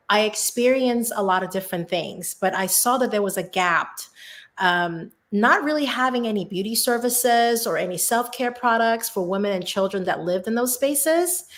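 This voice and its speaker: A woman's voice: medium pitch, fairly bright tone. A woman from Hong Kong, speaking English as a second language, telling her story casually as a podcast guest. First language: Cantonese or other non-English first language.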